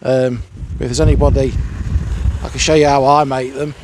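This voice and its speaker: A man's voice: medium pitch, level tone, moderate pace. A man, chatting casually as an angler in brief, understated remarks. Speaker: angler presenting a fishing vlog. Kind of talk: casual chat. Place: open beach at night. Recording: outdoor recording with faint wind noise.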